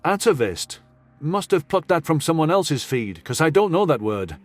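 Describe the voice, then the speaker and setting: A man's voice: fairly high pitch, very animated, normal speed, dry recording, faint music behind, voice pushed up. A man voicing a brash, indignant male commanding officer with blunt, slangy, sarcastic speech in a sci-fi audio story.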